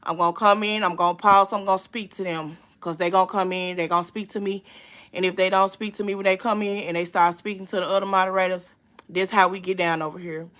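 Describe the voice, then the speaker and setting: A woman's voice: lowish voice, fairly even intonation, quick pace, a bit bright. A woman hosting her own reaction channel, talking to her viewers and moderators in a profane, emphatic, streetwise way. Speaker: commentary channel host. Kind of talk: casual livestream reaction chat.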